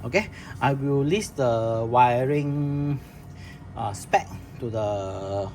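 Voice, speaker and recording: A man's voice: medium pitch, drawn-out words, a little mellow, soft background music. A man walking viewers through a product setup, talking plainly with many restarts and fillers. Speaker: product demonstrator. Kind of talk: casual product demo walkthrough. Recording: noisy room recording.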